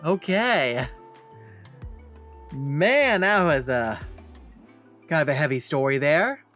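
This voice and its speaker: A man's voice: fairly high pitch, animated, slow, fairly bright tone. A man hosting a let's play, reading game text aloud and commenting casually. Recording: game music behind the voice.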